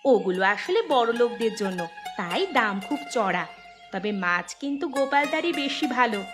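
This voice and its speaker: A woman's voice: fairly high pitch, animated, normal speed, over music, close to an everyday voice. A woman playing an agreeable, chatty village homemaker talking with family in a cartoon story. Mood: contented. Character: agreeable, satisfied village daughter-in-law or daughter. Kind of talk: Bengali cartoon story acting.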